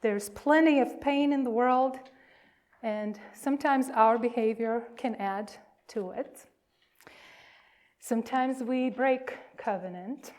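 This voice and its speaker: Slow, high-pitched woman minister. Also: darkish tone. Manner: measured, emphatic, with deliberate pauses. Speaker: minister leading a church service. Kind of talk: worship address and reverent reading.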